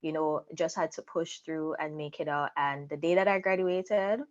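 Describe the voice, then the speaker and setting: Low-pitched young woman, slightly muffled. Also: steady tone, normal speed. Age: young adult. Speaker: interview guest, content creator. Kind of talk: personal storytelling in an interview.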